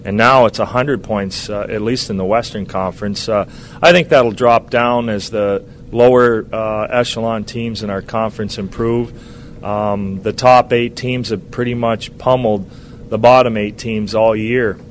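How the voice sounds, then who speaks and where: Fifty-something coach, low and rambling. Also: male voice, fairly even intonation, unhurried, slight background noise. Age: 50s. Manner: rambling, many uhs and restarts. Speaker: hockey head coach. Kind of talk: casual radio interview.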